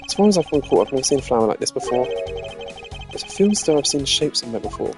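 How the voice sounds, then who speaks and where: A man voicing a composed, analytical prosecutor in a video game, speaking in a deliberate, formal manner. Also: medium pitch, very animated, close to an everyday voice. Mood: thoughtful. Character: composed, analytical male prosecutor investigating a murder. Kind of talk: voiced video game dialogue read live. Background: game music.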